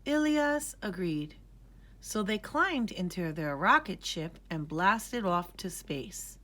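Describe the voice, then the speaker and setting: Slow, expressive woman reading to children. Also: on the low side. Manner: expressive, measured, careful enunciation. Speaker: children's storybook reader. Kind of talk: scripted read-aloud narration.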